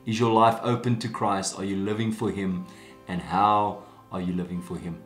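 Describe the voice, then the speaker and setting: A man's voice: sits low, fairly even intonation, moderate pace. A man in his forties, a pastor, invites the church to its services, speaking straight to the camera and inviting people in. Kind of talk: church announcement video. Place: small indoor room with plants and an orange chair. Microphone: camera framed from the chest up. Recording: echoey room with soft guitar music.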